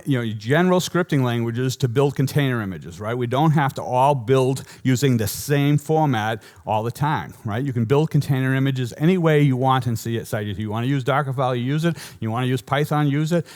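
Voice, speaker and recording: Male tech speaker, Boston accent, conversational and wry, mid-range voice, prone to restarts. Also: mid-range voice. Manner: lively, joking, rambling with self-corrections. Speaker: conference speaker. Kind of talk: technical conference talk. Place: conference hall before an audience.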